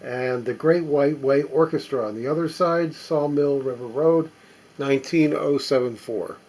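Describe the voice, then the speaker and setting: Husky man musing over old records. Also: medium pitch, a little mellow. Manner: reads labels aloud haltingly, musing to himself. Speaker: record collector. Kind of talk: casual running commentary while sorting records.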